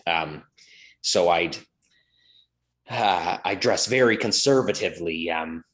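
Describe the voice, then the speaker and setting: A man's voice: sits low, slow pace, fairly bright tone. A man on a casual morning talk show, speaking thoughtfully and hesitantly, with frequent restarts. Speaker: co-host.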